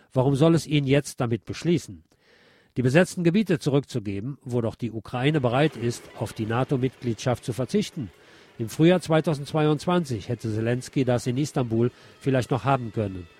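A man's voice: mid-range voice, darkish tone, dry recording. A man hosting a radio programme, reading press commentary and news aloud. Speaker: radio show host.

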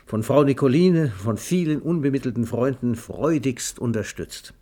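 Male radio drama narrator, mid-pitched. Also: normal speed, warm tone.

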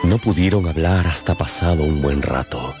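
A man narrating a literary radio drama as a storyteller, with dramatic pauses and emphasis. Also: a bass voice. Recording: music behind the voice.

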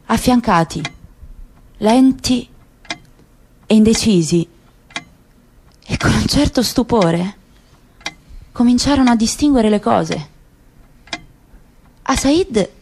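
A woman narrating a literary story for radio, slow and lingering with dramatic pauses. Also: on the high side, darkish tone, a little hiss or hum.

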